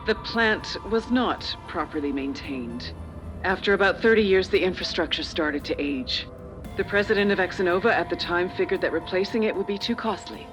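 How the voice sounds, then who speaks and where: A woman's voice: lowish voice, animated, over music, close to an everyday voice. A middle-aged woman playing a calculating, slightly condescending corporate official and parent in a dramatic video game. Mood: measured, evasive. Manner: measured, persuasive, slightly condescending. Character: older female corporate official and parent, calculating, persuasive, patronizing.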